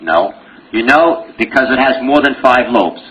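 A man's voice: medium pitch, lively intonation, unhurried, dull audio, slight background noise. A man teaching a medical exam review lecture, joking and quizzing his audience with rhetorical questions.

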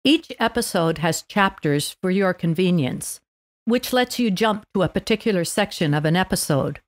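A woman's voice: fairly low pitch, expressive, moderate pace, dry recording. A woman podcast host reading a scripted narration aloud for language learners.

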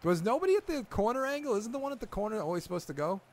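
A high-pitched young man with a lively, bouncing voice, talking over a game session with friends. He is excitable, swears freely and laughs a lot, with faint background noise under him. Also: rapid-fire. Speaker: gaming channel co-host. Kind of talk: casual gameplay banter with friends.

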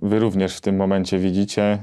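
A man's voice: low-pitched, flat delivery, a little fast, mellow, clear, resonant tone. A man in his thirties co-hosting a gear show, casually explaining and demonstrating in a small studio. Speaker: co-host of a guitar gear review show. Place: small indoor home studio.

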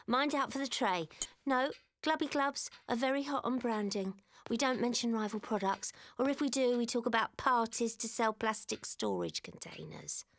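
An actress playing a bossy, chirpy middle-aged mum in an audio drama, with a patronising sales manner. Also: female voice, a little high, lively intonation, moderate pace, creaky, fairly bright tone. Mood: bossy, instructive.

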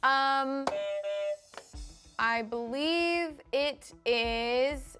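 A woman's voice: high-pitched, drawn-out words, bright, forceful tone, soft background music. A young woman chatting and joking casually as a quiz show panelist. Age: young adult. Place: indoor studio set.

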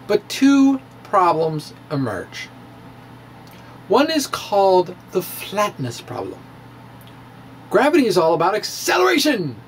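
Middle-aged male science presenter, dramatic reader with very animated pitch, unhurried pacing and pointed pauses. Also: slight background noise, poor audio. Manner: theatrical, drawn-out emphasis with pauses. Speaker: science blogger giving a dramatic reading. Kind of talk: theatrical reading of a popular science blog. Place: small room with bookshelves. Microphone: handheld-style microphone on a stand, close in front.

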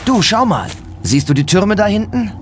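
A man's voice: high-pitched, sing-song, normal speed. An actor playing the alert, practical male partner of a ghost hunter in an audio drama. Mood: alert, curious. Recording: loud ambient sound effects behind voice. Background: loud ambience noise.

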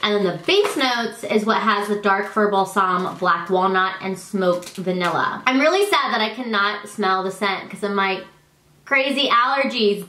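A woman's voice: medium pitch, roomy sound. A woman in her thirties, stuffy from allergies, chatting casually to camera about products she sells. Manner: chatty, self-deprecating, warm toward her child. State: congested from allergies. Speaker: product vlogger and consultant. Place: living room, indoors.

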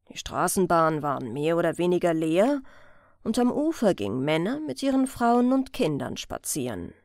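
A woman's voice: fairly low pitch, creaky, a little mellow, dry recording, studio quality. A woman reading a scripted story aloud as an audiobook narrator.

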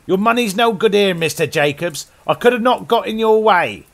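A man's voice: high-pitched, animated, moderate pace, creaky, fairly bright tone, voice pushed up, heavily acted. A man voicing a flustered, eager antique shopkeeper who exclaims deferentially in a horror story. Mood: eager, insistent. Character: flustered, eager antique shopkeeper, respectable gentleman, slightly sly.

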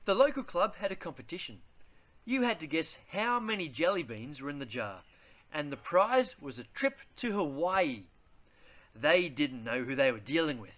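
A man, reading a children's picture book aloud in a deliberate, theatrical way. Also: a little high, big pitch swings, a bit bright. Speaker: read-aloud host.

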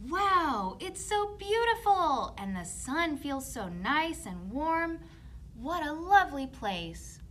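A woman's voice: high-pitched, big pitch swings, slow, a bit bright, a little hiss or hum, exaggerated voice. A woman voicing a dreamy, lovestruck young mermaid in a children's puppet storytime. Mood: wonder.

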